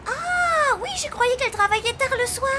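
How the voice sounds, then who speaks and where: Squeaky, very high-pitched cartoon child. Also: female voice, expressive, moderate pace, heavy background noise. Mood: curious. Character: young child at a zombie hotel, indignant, inquisitive. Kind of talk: French children's cartoon dubbing.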